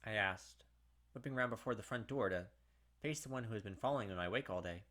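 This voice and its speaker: A man's voice: on the low side, fast, a bit bright. A man hosting a let's play, reading visual novel narration aloud and adding casual commentary. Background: game music.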